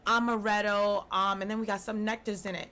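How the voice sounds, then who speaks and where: A woman's voice: medium pitch, little pitch movement, fairly quick, sharp tone, slight background noise. A woman from Brooklyn, a podcast guest chatting casually and laid-back about her drinks business, with slangy asides. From Brooklyn, New York.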